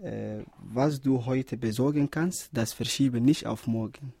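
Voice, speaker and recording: Measured young man from Somalia, husky. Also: medium pitch, dark tone, dry recording. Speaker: guest. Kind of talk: careful self-introduction in learned German. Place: radio studio.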